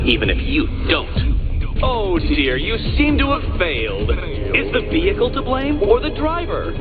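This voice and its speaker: Arrogant riddle-obsessed game villain: slow, savoured taunts, hugely animated intonation, condescending and pompous. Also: male voice, high voice, heavily acted. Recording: dull lo-fi sound under loud music.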